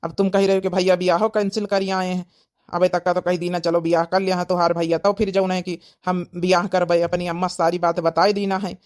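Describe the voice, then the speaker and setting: Lowish woman as a concerned Awadhi villager. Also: level tone, a little fast, a little mellow, natural voice. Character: young village parent, gentle and concerned. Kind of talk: family drama cartoon dialogue.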